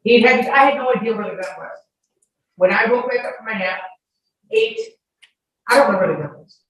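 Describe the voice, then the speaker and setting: A woman giving testimony in court, defensive and argumentative, cutting in and restarting her answers haltingly. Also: on the high side. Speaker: witness testifying. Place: courtroom hearing. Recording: echoey courtroom, distant, noisy microphone.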